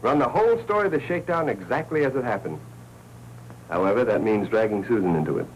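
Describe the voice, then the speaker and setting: Guy playing wry american newspaper boss from old noir movie, talks fast, commanding, hissy sound. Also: fairly low pitch, animated, natural voice. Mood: brisk, decisive. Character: brisk, tough, wisecracking male newspaper editor. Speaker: actor in a crime film. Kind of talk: 1940s film noir acting. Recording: muffled 1940s film soundtrack with hiss.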